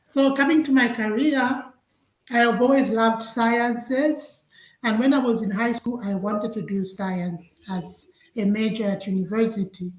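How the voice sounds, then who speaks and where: A woman's voice: fairly high pitch, darkish tone, reverberant, poor audio. A woman from Zambia giving a webinar talk as a guest scientist, telling her own story with frequent laughs over a video call. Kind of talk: webinar presentation, personal story.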